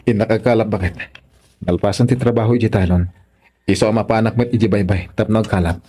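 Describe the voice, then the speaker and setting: A hardworking Filipino farmer and father in a radio drama, played by a male actor. His voice is low, dark and husky, that of a steady, reassuring husband. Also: quick pace, lightly acted.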